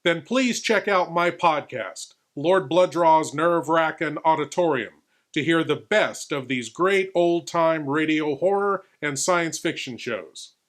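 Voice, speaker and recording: A man with a slightly high voice presents as a horror host. His scripted commentary is measured and explanatory, with a theatrical touch.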